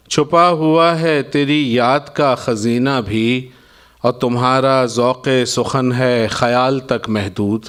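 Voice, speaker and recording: Slow-paced male poet reciting ghazals. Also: medium pitch. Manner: measured declamation, repeating each line for emphasis. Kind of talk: live Urdu ghazal recitation at a poetry gathering.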